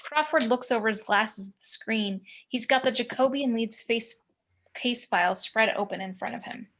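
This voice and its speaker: A woman's voice: a little high, moderate pace, fairly bright tone. A woman reading screenplay action descriptions as narrator of a table read over a video call. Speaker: narrator reading stage directions.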